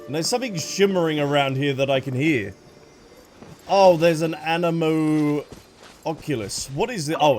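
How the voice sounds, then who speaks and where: A man with a British accent giving chatty, playful gameplay commentary, reacting aloud as a streamer. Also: on the high side. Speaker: gaming streamer. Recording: game music behind the voice.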